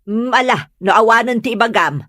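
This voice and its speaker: A woman's voice: fairly high pitch, moderate pace, fairly bright tone, no echo, studio quality, natural voice. A woman playing a scornful, stubborn middle-aged mother-in-law, curt and dismissive, in a comedy audio drama.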